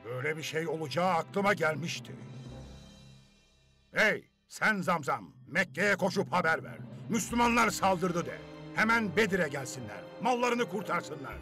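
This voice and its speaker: High-pitched, commanding caravan leader. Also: male voice, big pitch swings, normal speed, sharp tone, soft background music. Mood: urgent, commanding. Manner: barking orders. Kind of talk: animated cartoon dub acting.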